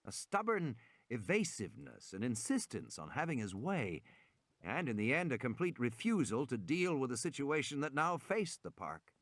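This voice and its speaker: Expressive male audiobook narrator, widely varied intonation. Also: medium pitch, moderate pace, dry recording. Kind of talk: scripted dramatic audiobook narration.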